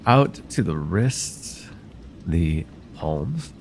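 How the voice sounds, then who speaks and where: Male actor as courteous, faintly sinister vampire therapist for ASMR roleplay. Lowish voice, formal, gently hypnotic, noticeable background noise. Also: expressive, drawn-out words, full character voice. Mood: hushed, hypnotic. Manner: formal, hesitant, gently hypnotic, addressing the listener directly.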